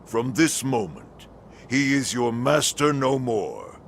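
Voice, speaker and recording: An actor playing a scheming old shinobi father in a video game, imperious and deliberate, with a gravelly voice. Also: sing-song, slight background noise, exaggerated voice. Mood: commanding. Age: older. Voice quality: very deep gravelly voice. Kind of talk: video game cutscene acting. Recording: loud music underneath.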